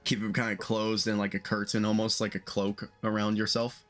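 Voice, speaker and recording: Low-voiced man running a tabletop game. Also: level tone, a little fast, a bit bright, soft background music. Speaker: game master. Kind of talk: casual improvised tabletop game narration. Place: online group call, streamed live.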